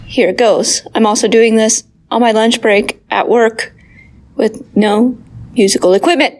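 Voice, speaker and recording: A woman's voice: fairly high pitch, fairly even intonation, unhurried, a little mellow. A woman contestant performing an improvised homemade jingle on an amateur recording. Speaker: podcast contestant. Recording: noisy amateur recording with clicks.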